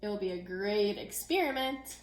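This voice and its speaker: A woman voicing a cheerful, encouraging primary school teacher in a storybook, announcing and gently instructing. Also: mid-range voice, animated, normal speed, lightly acted. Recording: echoey room.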